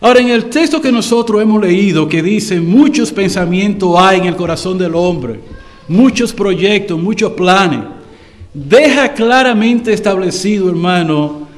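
A man preaching a sermon to his congregation, swelling louder and slowing down for emphasis. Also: sits high, animated. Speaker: pastor.

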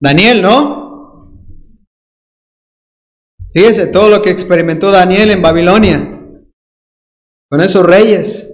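Dominican preacher, high slow voice. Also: male voice. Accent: Dominican Spanish. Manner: emphatic, drawn-out stresses on key words; playful, mimics excuses mid-sermon. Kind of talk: sermon.

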